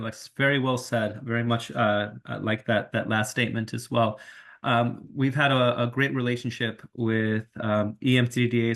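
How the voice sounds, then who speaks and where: A man chairing a virtual meeting, giving friendly closing remarks with fillers. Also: on the low side. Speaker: session chair.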